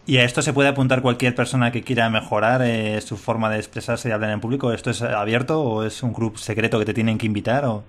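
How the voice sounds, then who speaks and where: A man's voice: mid-range voice, steady tone, fast, hardly any pauses. Curious Madrid interviewer man. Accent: Spain Spanish. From Madrid, Spain. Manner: curious, affirming with short backchannels. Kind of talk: casual interview chat.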